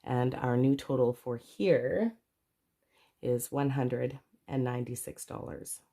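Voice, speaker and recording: A woman's voice: extremely low pitch, takes it slowly, dark tone. A middle-aged woman from Canada, congested from a cold, chatting casually to camera about her budget with rambling, self-correcting asides. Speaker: budgeting vlogger.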